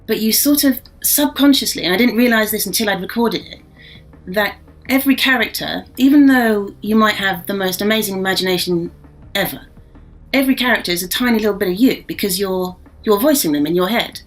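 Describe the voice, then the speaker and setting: A voice actress with a mixed accent, talking casually and reflectively in an interview. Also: female voice, mid-range voice, fairly quick, rough recording. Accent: mixed, no single regional accent.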